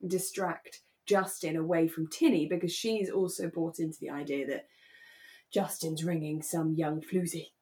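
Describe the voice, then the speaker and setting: A woman's voice: low-pitched, steady tone, normal speed, warm tone, slight background noise. A woman co-hosting a podcast, chatting casually and expressively as she reviews a drama. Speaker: podcast co-host.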